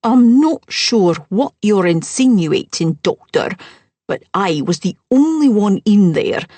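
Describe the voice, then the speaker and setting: A woman voicing a scowling, haughty stepmother who speaks in sharp, accusing tones. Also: mid-range voice, big pitch swings, slow pace, no echo, pitched above the performer's own voice. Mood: defensive.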